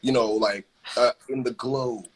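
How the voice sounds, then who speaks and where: A man's voice: medium pitch, steady tone, measured pace, husky, poor audio. A young man hosting a casual interview, chatty and laid-back, full of slang. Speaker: host.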